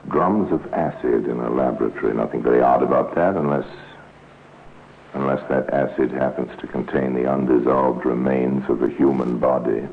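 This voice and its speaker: A man narrating a 1950s radio crime drama in a measured, solemn, dramatic way, as a grave storyteller. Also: very deep voice, fairly even intonation. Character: grave male storyteller of a police crime tale. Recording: tinny, noisy 1950s radio recording.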